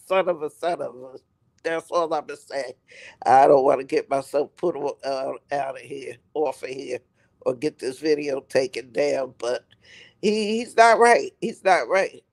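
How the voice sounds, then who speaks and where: A man with a raspy voice from a head cold, rambling on a live stream and repeating himself for emphasis. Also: on the high side. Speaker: livestream host. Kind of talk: casual live-stream commentary.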